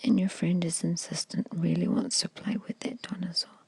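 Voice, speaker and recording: A woman whispering a guided meditation in a slow, soothing, hushed storytelling manner, close to the microphone. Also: fairly low pitch, flat delivery. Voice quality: breathy whisper. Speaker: hypnosis guide.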